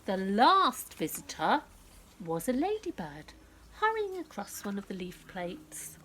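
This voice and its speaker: A woman reading a picture book aloud to young children, speaking directly to them in an expressive storytelling style that often drops to a hush. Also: medium pitch, unhurried, slight background noise. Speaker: children's story reader and presenter.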